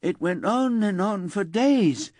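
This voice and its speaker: A man's voice: sits high, sing-song, slow pace, darkish tone, no echo, voice pushed up, full character voice. A man voicing a resentful teenage girl who whines and turns tearful. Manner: whiny and indignant, rising to wailing.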